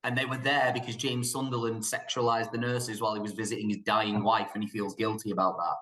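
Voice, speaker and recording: A man giving opinions in a casual film discussion, animated and joking, sometimes slipping into mock quotes. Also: fairly low pitch, fast talker, rough recording. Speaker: podcast panelist reviewing films.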